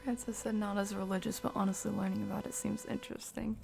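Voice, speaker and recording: A woman live-streaming a horror video game, chatting casually with viewers in an excitable, slangy, exclaiming way. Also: mid-range voice, fast talker, gravelly. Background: game music.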